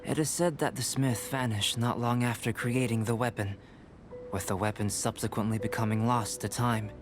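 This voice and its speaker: Man acting a calm scholarly young alchemist, formal and measured. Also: medium pitch, over music, close to an everyday voice. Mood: explaining calmly. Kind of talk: video game dialogue acting.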